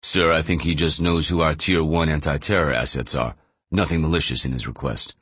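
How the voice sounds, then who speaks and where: A man voicing a deferential young chief of staff in an audiobook thriller, measured and placating. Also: a bass voice, steady tone, fairly bright tone, slightly rough, no room sound, full character voice.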